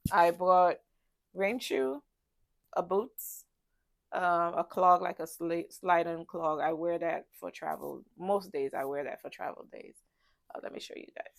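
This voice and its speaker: A woman's voice: on the low side, measured pace, creaky, no room sound. A woman with a congested, stuffy voice chatting casually as a travel vlogger, rambling with many ums and restarts. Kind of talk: casual packing chat to camera. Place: ship cabin.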